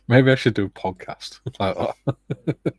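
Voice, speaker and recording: A middle-aged man hosting a relaxed livestream, reading viewer comments aloud with a soft, soothing, self-deprecating manner and frequent laughs. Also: mid-range voice, no echo. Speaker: livestream host.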